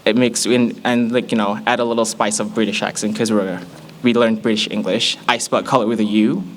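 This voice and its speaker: A young man in his early twenties with an American accent, giving a humorous personal testimony to an audience in an animated, playful storytelling manner. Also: on the low side, a little fast, slight background noise. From Malaysia.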